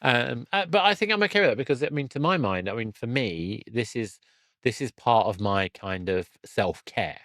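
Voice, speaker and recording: A man's voice: mid-range voice, lively intonation, normal speed, a bit bright, no echo. Conversational male podcast host, dry close sound. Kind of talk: conversational interview.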